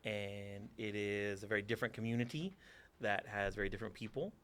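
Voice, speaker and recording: A man's voice: on the low side, fairly quick, fairly bright tone, clean tone. A man in his forties from Texas, a theater teacher answering interview questions with dry, teasing humor.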